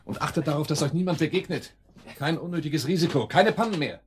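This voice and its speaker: An actor playing a cold, calmly cruel crime boss in a radio drama, commanding, sardonic and deliberate. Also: male voice, fairly high pitch, a little hiss or hum, close to an everyday voice. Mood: urgent, commanding. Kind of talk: German radio drama acting.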